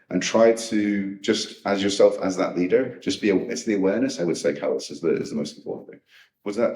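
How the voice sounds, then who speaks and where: Deep-voiced man in echoey hall. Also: darkish tone. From United Kingdom. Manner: frank, conversational, many restarts. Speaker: speaker at a coaching event. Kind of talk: live Q&A answers. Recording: echoey hall, distant mic.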